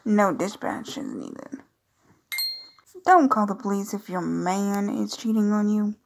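A woman chats her way playfully through a game, reading dialogue aloud and adding amused asides. Her mid-pitched voice has a creaky edge, over faint background noise with some typing and clicks. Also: sing-song, slow pace. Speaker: gaming video creator. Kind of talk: casual gameplay commentary, reading on-screen dialogue aloud.

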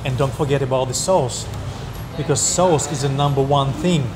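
A man's voice: medium pitch, normal speed. A man narrating a casual travel vlog outdoors in a city, chatty and enthusiastic, talking straight to his viewers. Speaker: vlogger. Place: outdoors on busy city streets. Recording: clear street noise behind. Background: traffic and street noise.

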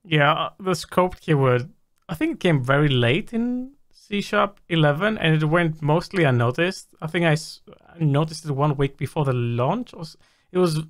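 A man's voice: fairly high pitch, animated. A man hosting a tech podcast, speaking in a friendly, appreciative way as he wraps up the talk with his guest. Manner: friendly, thankful, quick. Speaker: podcast host. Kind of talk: casual interview chat.

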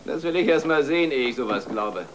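A man's voice: fairly high pitch, animated, brisk, noisy background, lightly acted. A man playing an exasperated, grumbling middle-aged farmer father in a children's radio play. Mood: skeptical, resigned. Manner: grumbling, weary.

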